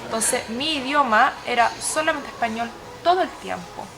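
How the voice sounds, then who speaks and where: Young woman, Chilean Spanish accent, Swedish first language, slightly higher pitch, hesitant interviewee. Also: normal speed, creaky, music in the background. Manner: thoughtful, with frequent hesitations. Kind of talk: casual interview.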